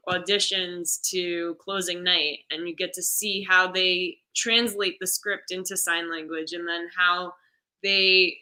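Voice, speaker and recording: Female, American, interview guest. Very bright, forward voice, mid pitch, informal explanatory delivery. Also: rough recording. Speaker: guest, sign language interpreter and theater stage manager. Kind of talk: casual explanatory interview chat.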